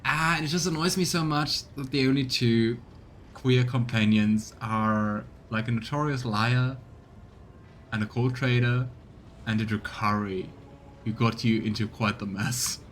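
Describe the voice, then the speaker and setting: A man's voice: medium pitch, steady tone, a little slow, a little hiss or hum. A man giving casual let's play commentary and reading game dialogue aloud, with exasperated, sarcastic asides.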